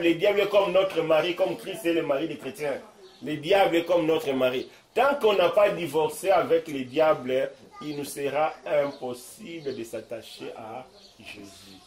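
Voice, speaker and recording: Man preaching in a roomy echo. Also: fairly high pitch. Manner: emphatic, swinging from loud to soft. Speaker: visiting preacher. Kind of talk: informal preaching. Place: room in a private home.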